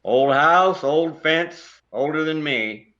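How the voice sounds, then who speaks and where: A man's voice: on the high side, slow pace, bright, forceful tone, muffled recording. A man playing a grumpy older widowed neighbor in a stage play, blunt and dryly complaining. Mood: grumbling, resigned.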